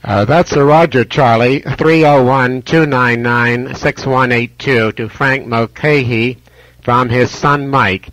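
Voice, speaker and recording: A man's voice: mid-range voice, steady tone, slow pace, a bit bright, no echo, rough recording. A courteous man working as an amateur radio operator, relaying calls in procedural radio talk. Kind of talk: radio contact, procedural chat. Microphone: ham radio microphone.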